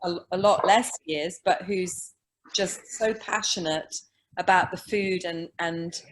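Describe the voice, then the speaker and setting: A woman's voice: lowish voice, takes it slowly, low-quality recording. A woman from Singapore, a guest, chatting casually and hesitantly with frequent "um"s. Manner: hesitant, many "um"s. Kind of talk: casual chat.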